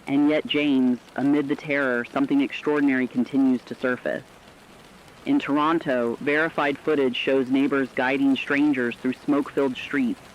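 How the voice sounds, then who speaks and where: A man's voice: mid-range voice, dull audio, slight background noise, natural voice. A man acting as a radio correspondent, reading scripted dramatic news in a solemn, earnest, rhetorical manner. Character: solemn radio correspondent reporting human survival amid apocalypse.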